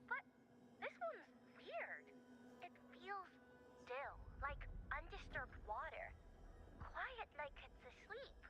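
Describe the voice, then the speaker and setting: A woman voicing a casual, thoughtful young tech scout in a game, musing with trailing pauses over music. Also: extremely high pitch, huge pitch swings, noisy background, heavily acted. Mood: casual, pondering. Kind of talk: conversational game voice acting.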